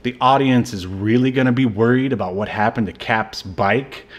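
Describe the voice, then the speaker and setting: Man, podcast co-host, casual rambling chat, plenty of ums, mid-pitched. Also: normal speed. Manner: laid-back, rambling, lots of fillers.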